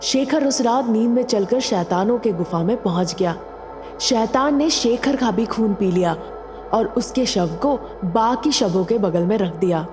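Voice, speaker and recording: A woman's voice: a little high, lively intonation, a little slow, big-room sound, over music. A woman narrating a scripted story as a storyteller.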